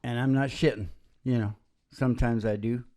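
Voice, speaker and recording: A man hosting a homemade radio show in casual, rambling banter, with halting, folksy asides. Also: mid-range voice, fairly even intonation, dark tone. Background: acoustic guitar playing.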